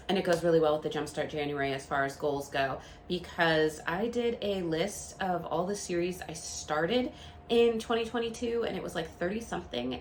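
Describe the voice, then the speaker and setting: Congested chatty woman with a lower voice. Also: gravelly, a little hiss or hum. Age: 30s. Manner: chatty, frequent ums, rambling restarts. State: congested, nasal from a cold. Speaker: book channel host. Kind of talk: casual chat to camera.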